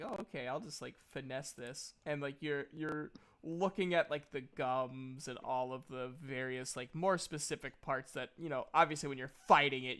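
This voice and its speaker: A man narrating a tabletop game as game master in a casual, animated, halting storytelling way. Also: medium pitch, clear, resonant tone.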